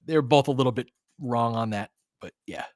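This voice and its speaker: A man giving informal, partisan live basketball commentary as host, excitable, with whoops and casual swearing. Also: medium pitch, normal speed, no room sound, studio quality.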